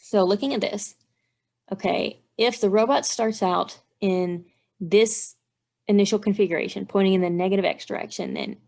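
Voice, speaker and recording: Female technical instructor, mid-pitched with a gravelly, creaky edge. Methodical teaching delivery, reasoning aloud through worked steps. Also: normal speed, a little mellow. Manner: methodical, step-by-step explaining. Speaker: engineering instructor. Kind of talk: instructional walkthrough, thinking aloud while working out math.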